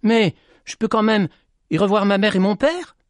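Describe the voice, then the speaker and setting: A man voicing Petit Jean, an impulsive young peasant, in a high, strained voice while reading his storybook aloud. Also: normal speed, dry recording, natural voice. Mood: eager. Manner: high strained pleading and shouting.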